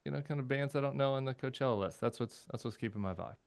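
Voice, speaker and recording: A man's voice: mid-range voice, fast talker, darkish tone. Rambling man in his forties. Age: 40s. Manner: rambling, hesitant, frequent fillers, swears casually. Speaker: guest commentator. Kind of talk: casual chat.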